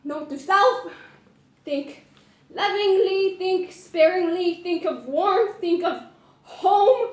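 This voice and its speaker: High slow voice, roomy echo; woman as young actress reading diary notes, cracking into laugh-sobs. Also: heavily acted. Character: young woman actress unraveling under a harsh coach. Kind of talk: audio drama acting.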